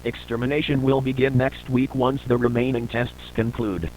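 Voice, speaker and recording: A man's voice: medium pitch, level tone, darkish tone, poor audio. Man narrating a grim report over noise. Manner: formal, measured report delivery. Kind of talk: scripted documentary-style narration for analog horror.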